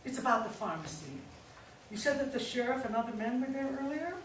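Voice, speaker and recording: Woman acting pushy manipulative middle-aged wife, sweet-talking, swingy pitch, really echoey stage reading. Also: expressive, brisk, natural voice. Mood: grave, probing. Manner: coaxing, pressuring, falsely sweet. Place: indoor staged reading, mic far off. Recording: very echoey room, distant mic, faint noise.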